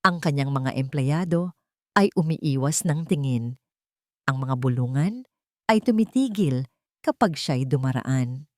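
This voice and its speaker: A woman's voice: a bass voice, lively intonation, measured pace, pauses often, darkish tone, dry recording, clean studio sound. A woman with a Filipino accent reading a dramatic story as an audiobook narrator.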